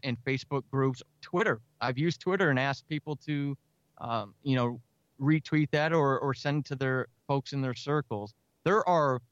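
A talkative, energetic man speaking casually as a guest in an interview about his creative work. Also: medium pitch, clear, resonant tone, no room sound, dull audio. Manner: energetic, talkative, self-described extrovert. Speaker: audio drama writer and podcaster, interview guest.

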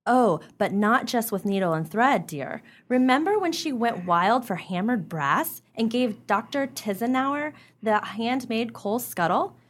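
Woman acting a high-voiced, arch, gossipy wife. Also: lively intonation, a little slow, few pauses. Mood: mocking, amused. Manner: theatrical, precise, arch. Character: gossipy, drily witty small-town wife. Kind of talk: stagey comedic radio play acting.

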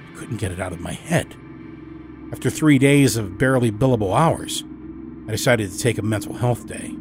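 A man delivers scripted first-person narration for a horror audio story. His reading is dramatic and expressive, with deliberate emphasis, and his medium-pitched voice sits over a musical score.

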